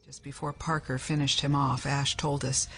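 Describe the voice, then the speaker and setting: A woman's voice: extremely low pitch, little pitch movement, normal speed, a little hiss or hum. An actress playing a grim, resolute female spaceship survivor in an audio drama. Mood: grim, determined.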